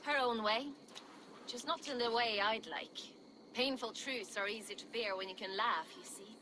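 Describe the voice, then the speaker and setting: A woman's voice: on the high side, fairly even intonation, moderate pace, lightly acted. A woman playing a brash, bawdy Viking ex-smuggler in a video game, with a jaunty, teasing, wry way of talking. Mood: wry, candid. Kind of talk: video game character acting. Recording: clear background noise and outdoor ambience.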